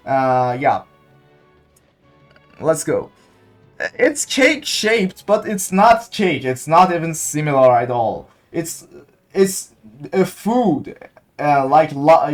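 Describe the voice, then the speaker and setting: Slow-talking Iranian man, hesitant. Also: fairly high pitch. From Iran. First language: Persian. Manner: hesitant, searching for English words. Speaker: gaming content creator. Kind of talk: casual gameplay commentary.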